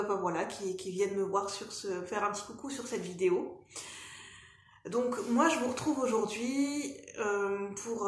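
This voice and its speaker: Hesitant French woman with a cold. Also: medium pitch. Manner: hesitant, many fillers and restarts. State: has a cold. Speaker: vlogger and life coach. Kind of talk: casual talk to camera. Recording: echoey room.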